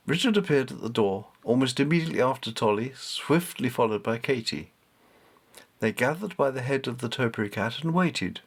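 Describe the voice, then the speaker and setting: Male author, storybook narration, measured, expressive, very varied pitch, mid-range voice. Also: breathy edge. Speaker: author reading his own novel.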